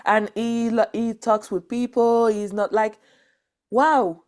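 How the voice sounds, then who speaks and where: A woman from Nigeria hosting a podcast in casual chat, animated, laughing often and restarting her sentences. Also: on the high side, takes it slowly. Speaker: podcast host.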